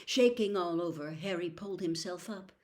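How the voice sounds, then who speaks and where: A woman reading a storybook aloud as narrator, expressive and suspenseful, with dramatic pauses. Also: fairly low pitch. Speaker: audiobook reader, host.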